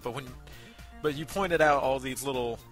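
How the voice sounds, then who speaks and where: A man co-hosting a review show in casual chat, speaking hesitantly with many pauses. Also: mid-range voice, a bit bright. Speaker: co-host of an amateur voice acting review show. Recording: background music under voice.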